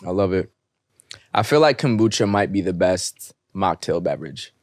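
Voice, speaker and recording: A man's voice: sits low, animated, moderate pace, dry recording, studio quality. A man hosting a podcast, chatting casually and interviewing in a laid-back, slangy way. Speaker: podcast host.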